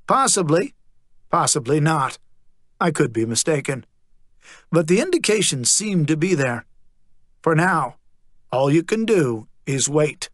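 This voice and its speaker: A man narrating a story and voicing a cool, guarded male agent with a quietly malicious edge. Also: a little high, sing-song, measured pace, long pauses, dry recording, studio quality. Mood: measured.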